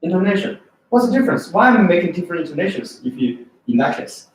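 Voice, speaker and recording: A man's voice: sits high, quick pace. A man from China with a Chinese accent, teaching an English test class and often checking in with students. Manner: lively, asks students questions often. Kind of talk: classroom lecture. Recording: echoey room from far off.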